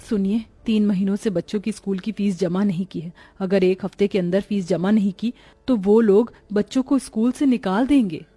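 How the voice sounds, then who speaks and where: A woman playing a soft-spoken devout village wife. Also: medium pitch, moderate pace, husky, dry recording, lightly acted. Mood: soft, worried.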